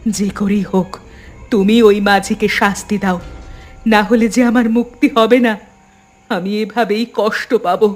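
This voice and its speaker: A woman's voice: medium pitch, fairly even intonation, a little slow, soft background music, lightly acted. A woman voicing the sorrowful ghost of a young daughter in a cartoon, pleading plaintively. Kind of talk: dramatic cartoon voice acting.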